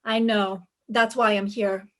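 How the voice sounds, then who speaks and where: A woman's voice: mid-range voice, level tone, measured pace, a bit bright, lightly acted. A woman playing a brash, wisecracking young private detective in a retro radio drama, wry and self-assured. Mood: polite, direct.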